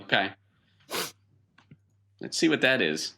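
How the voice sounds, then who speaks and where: A man narrating a tabletop roleplaying game as game master, in a conversational, halting way with vivid colloquial detail. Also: medium pitch, very animated, vocal fry, bright, forceful tone.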